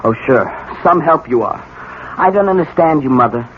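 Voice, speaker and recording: Male actor as scared resentful young man, high pitch, petulant, radio drama, narrow-band recording, faint noise. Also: animated, moderate pace, close to an everyday voice. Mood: bitter. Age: young adult. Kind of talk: 1970s radio drama acting. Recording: tinny, lightly noisy old radio broadcast.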